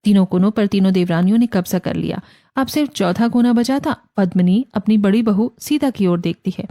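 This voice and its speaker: Mellow Indian woman narrating a story. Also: medium pitch, fairly quick, dry recording. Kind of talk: scripted storytelling narration for an animated family drama.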